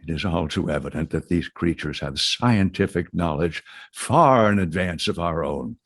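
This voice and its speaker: A grave, lecturing professor. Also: male voice, sits low, lively intonation. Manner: measured, formal, lecturing. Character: learned older male astronomy professor. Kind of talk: radio drama acting.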